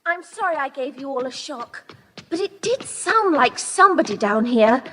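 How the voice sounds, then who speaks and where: A gentle, timid British girl speaks hesitantly in a very high, expressive voice. It is children's audio drama with long reverb and faint tape hiss. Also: normal speed, heavily acted. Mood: uneasy. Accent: British English. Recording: echoing, faintly hissy cassette tape.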